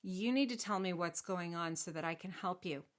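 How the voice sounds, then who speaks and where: A woman's voice: on the low side, normal speed, natural voice. A heroic storybook knight put on by a woman, kind and brave. He sounds earnest and concerned, insisting stubbornly on helping. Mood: earnest insistence.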